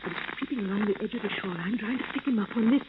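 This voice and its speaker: Actress as a quick-talking spirited young woman. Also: medium pitch, close to an everyday voice. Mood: indignant. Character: spirited, indignant young woman, scientist's daughter. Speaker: actor. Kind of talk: old-time radio adventure acting. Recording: tinny, noisy old radio recording.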